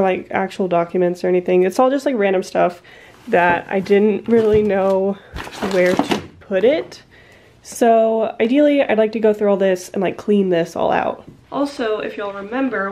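A woman's voice: medium pitch, a little mellow, slight background noise. Congested young woman rambling in vlog. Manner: rambling, many fillers like "um" and "like". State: congested, short of breath. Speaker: vlogger. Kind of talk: casual vlog chat. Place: small home office, indoors.

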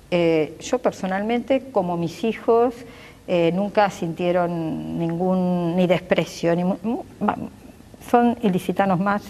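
A woman's voice: on the low side, warm tone, in a large hall. A woman in her sixties with an Argentine accent, answering interview questions as a doctor and local politician, earnest and fluent. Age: 60s. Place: glass-walled pavilion with garden behind.

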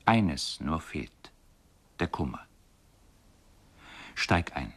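Radio drama: solemn, dreamy poetic speaker, very unhurried, low-pitched, deliberate recitation. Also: male voice, big pitch swings, fairly bright tone, breathy edge, heavily acted. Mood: solemn, beckoning. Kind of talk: poetic recitation, 1970s German radio play.

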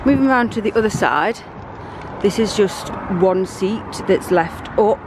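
A British woman in her thirties giving a relaxed, chatty walkthrough of her car, filmed on a handheld camera. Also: medium pitch, unhurried, noisy background. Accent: British English. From United Kingdom. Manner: chatty, relaxed, lots of fillers. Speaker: vlogger. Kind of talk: casual show-and-tell walkthrough. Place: inside and around a car, outdoors by the sea.

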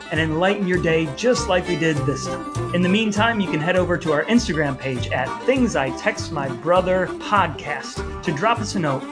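A man co-hosting a casual podcast, talking in a playfully wordy, mock-formal way. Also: high-pitched. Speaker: podcast co-host. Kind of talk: casual podcast talk between brothers.

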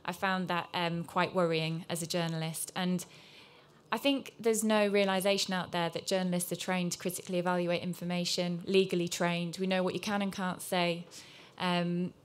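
Female panelist, journalist, candid and earnest, flat delivery, table mic. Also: lowish voice, moderate pace. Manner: earnest, candid, with frequent ums. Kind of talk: panel discussion remarks.

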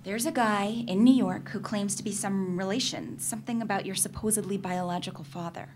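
A woman's voice: medium pitch, brisk, a little mellow, close to an everyday voice. Probing young woman played by an actress, noisy stage recording. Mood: persistent. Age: young adult. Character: curious, persistent young woman, casual and teasing. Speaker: actor in a staged reading. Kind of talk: dramatic reading of a novel's dialogue. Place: indoor stage at a public reading. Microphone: microphone on a stand.

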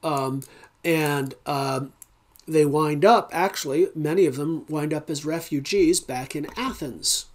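A man's voice: on the high side, slow pace. A middle-aged man teaching a recorded philosophy lecture from his home study, thinking aloud with frequent ums and uhs, speaking into a desk webcam. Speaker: philosophy instructor.

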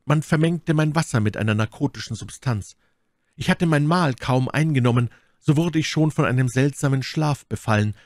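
A man's voice: mid-range voice, moderate pace, dry recording, studio quality, pitched above the performer's own voice, close to an everyday voice. A man reading aloud, voicing a proud, manipulative noblewoman held prisoner, in a dramatic pleading tone. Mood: melancholy, solemn. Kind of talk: audiobook character reading.

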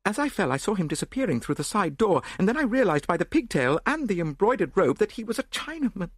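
Posh rattled lady done by a male narrator, way up in pitch, dramatic and genteel, storybook reading. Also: big pitch swings, fast talker, slightly rough, dry recording, clean studio sound, exaggerated voice. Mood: shaken. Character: shaken aristocratic lady recounting an attack.

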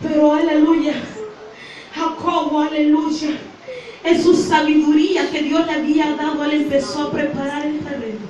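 High-pitched woman preacher in an echoing church. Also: level tone, normal speed, a little mellow, distant mic. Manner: declamatory, punctuated with repeated hallelujahs. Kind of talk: fervent Pentecostal sermon. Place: small church hall, indoors. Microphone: handheld wired microphone through speakers, filmed from a distance. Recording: distorted, echoing church sound, recorded from afar.

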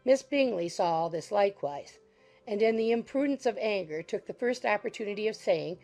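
Gravelly-voiced woman narrating a classic novel. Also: mid-range voice, expressive, darkish tone, soft background music, rough recording. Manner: measured, formal storytelling. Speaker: audiobook narrator.

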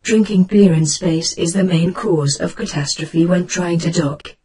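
A voice assistant delivers scripted, encyclopedic definitions. The tone is extremely husky and unclear, at a middling pitch. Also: a little slow, darkish tone.

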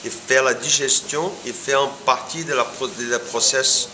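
A man with a Brazilian accent and a mid-pitched voice talks in an informal interview. He is halting, often repeating small words as he looks for the next one. Clear room noise sits behind him. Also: steady tone, sharp tone. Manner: halting, word-searching non-native French with repeated words. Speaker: coffee farm owner being interviewed. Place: indoor room, seated before camera. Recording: clearly noisy room ambience.

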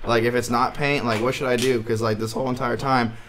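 Young male prank caller, mid-pitched, naive and hesitant delivery, audible background noise. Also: level tone, quick pace, a bit bright. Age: 20s. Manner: feigned naive, puzzled, hesitant. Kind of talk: deadpan prank phone call. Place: small indoor studio room.

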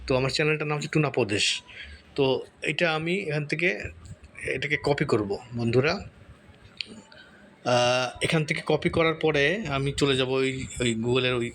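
Guy doing a screen tutorial, kinda high voice, explains step by step, noisy recording. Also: fairly bright tone. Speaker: tutorial host. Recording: clearly audible background noise.

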